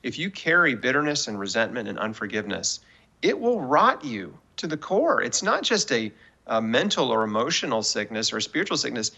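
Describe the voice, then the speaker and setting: A man's voice: mid-range voice, big pitch swings, brisk, creaky, pushed and bright, slightly rough. A man in his forties speaking as an interview guest in an emphatic, persuasive way, giving earnest faith-based encouragement. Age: 40s. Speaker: guest author and cancer survivor.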